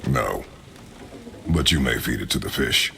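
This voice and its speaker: An actor playing a stern, stoic warrior father who talks in terse, formal commands with a gravelly voice in a cinematic game. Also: a bass voice, expressive, unhurried, bright, forceful tone, exaggerated voice. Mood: curt, commanding. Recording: noisy water ambience.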